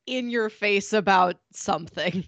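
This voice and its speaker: A woman co-hosting a podcast in casual chat, explaining things in a measured way. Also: medium pitch, a bit bright, dry recording. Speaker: podcast co-host.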